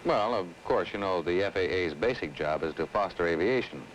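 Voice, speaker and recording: Affable American government expert, 1960s training-film style; low, animated delivery, measured and earnest. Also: male voice, low-quality recording. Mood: explaining. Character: affable, earnest government air traffic control specialist. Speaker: actor as air traffic specialist. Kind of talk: scripted 1960s educational film dialogue and narration. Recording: old 1960s film soundtrack, faint noise.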